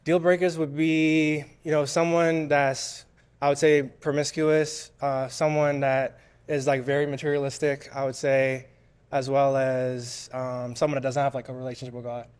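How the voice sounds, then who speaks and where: A man in his thirties from the Bahamas, a dating show contestant giving steady, list-like answers with fillers. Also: medium pitch, moderate pace, clean tone. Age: 30s. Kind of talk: answering interview questions.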